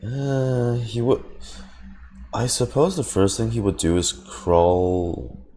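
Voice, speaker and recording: Very deep, slow-talking male streamer. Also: warm tone, slight background noise. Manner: thinking aloud, musing, hesitant. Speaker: game streamer. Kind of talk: casual live reaction commentary.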